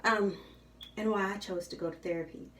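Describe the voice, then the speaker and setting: A soft-spoken woman chatting casually to her viewers while getting ready, rambling with many ums and you knows. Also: medium pitch, fairly even intonation, brisk. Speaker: vlogger. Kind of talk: casual chat while doing makeup. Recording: roomy, slightly distant home recording.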